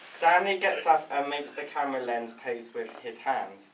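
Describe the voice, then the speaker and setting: A man's voice: medium pitch, lively intonation, normal speed. A man reading stage directions as scripted narration at a table read. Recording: echoey room, distant mic, faint noise.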